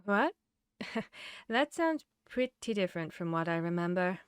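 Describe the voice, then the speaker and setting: A woman's voice: lowish voice, very animated, a little slow, smooth, no echo. Woman acting as earnest female vampire hunter, dry sound. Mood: puzzled. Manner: intimate, addressing the listener directly, shifting between hushed and urgent. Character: female vampire hunter, protective, urgent, caring comrade. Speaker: audio roleplay voice performer. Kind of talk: acted fantasy ASMR audio roleplay.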